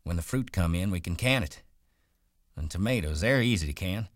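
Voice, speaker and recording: A man voicing a ranch worker who talks in a rustic drawl, brisk and rolling. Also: low voice, no echo, studio quality, natural voice. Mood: dreamy. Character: wiry male ranch worker, guarded and dreaming.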